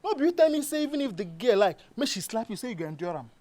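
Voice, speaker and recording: A woman's voice: a little high, sing-song, quick pace, warm tone, no echo. Woman as bossy exasperated friend, Nigerian accent, swooping intonation, emphatic, dry close sound. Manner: emphatic, scolding. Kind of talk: acted Nigerian Pidgin comedy dialogue.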